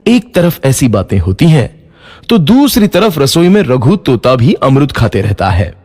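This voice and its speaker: A man's voice: mid-range voice, big pitch swings, a little slow, darkish tone. A man narrating a scripted moral story for children.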